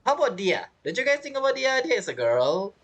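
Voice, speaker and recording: Playful male streamer with animated pitch. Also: fairly quick. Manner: playful, giggly, reactive. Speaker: game streamer. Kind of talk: casual live gameplay commentary. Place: indoors at a streaming setup.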